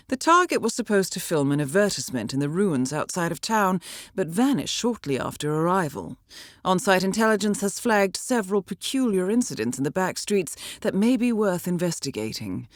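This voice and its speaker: A woman voicing a composed middle-aged intelligence handler in a video game, measured and formal with dry understatement. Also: on the low side, big pitch swings, no room sound. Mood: measured, businesslike. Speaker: mission handler. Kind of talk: scripted game voice acting, mission briefing.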